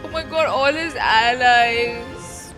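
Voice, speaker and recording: A woman's voice: on the high side, slow pace. A young woman giving casual, exclamatory, slangy livestream reactions while playing a game. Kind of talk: casual playthrough reaction commentary. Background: game music.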